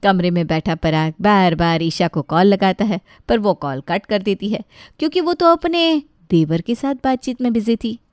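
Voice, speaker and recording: A woman reading scripted storybook narration in an expressive, lightly wry way. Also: mid-range voice, moderate pace, hardly any pauses, dry recording, clean studio sound.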